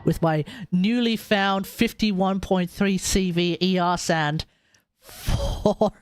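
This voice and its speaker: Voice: medium pitch, fairly even intonation, slow. Chatty game streamer with mid-range voice, music behind. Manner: informal, reactive, chatty. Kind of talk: casual live playthrough commentary.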